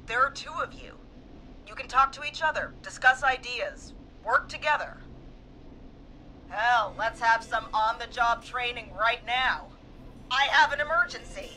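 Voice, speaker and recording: A woman's voice: on the high side, animated, unhurried, pushed and bright, a little hiss or hum, poor audio. A woman playing a frightened but determined police dispatcher in a video game. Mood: urgent, insistent.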